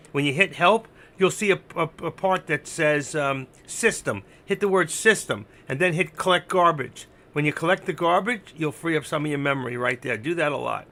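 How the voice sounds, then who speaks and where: A man with a gravelly, hoarse voice that is giving out, doing unscripted live trading commentary, thinking aloud, repeating himself and instructing his viewers. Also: on the high side, a bit bright. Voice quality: gravelly, creaky. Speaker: live stock-trading streamer.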